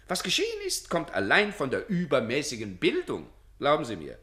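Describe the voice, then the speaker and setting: A man's voice: fairly high pitch, huge pitch swings, brisk, pushed and bright, heavily acted. An actor in a German radio play playing a self-important, evasive senior civil servant who dithers officiously and draws out his phrases. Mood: smug musing.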